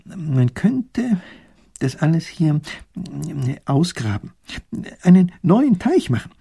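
A man voicing a clever old professor who speaks slowly and thoughtfully, with hesitant pauses. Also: on the high side, sing-song, gravelly, mellow, breathy edge, pitched above the performer's own voice, full character voice. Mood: pondering. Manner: slow, pondering delivery with hesitations. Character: clever, impatient old male professor-minister.

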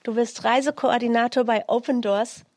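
A woman host with a fairly high voice welcomes her guest in an upbeat way. Her voice is recorded dry and close. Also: steady tone, normal speed, a little mellow, no room sound. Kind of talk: show interview hosting.

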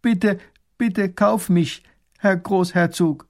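A man voicing a pleading captive who speaks in halting, broken grammar. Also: sits high, steady tone, voice pushed up.

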